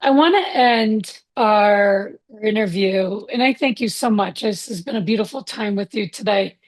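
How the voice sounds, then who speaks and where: A woman's voice: medium pitch, moderate pace. A woman hosting an interview, affirming and encouraging her guest as she leads the conversation. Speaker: interview host and author.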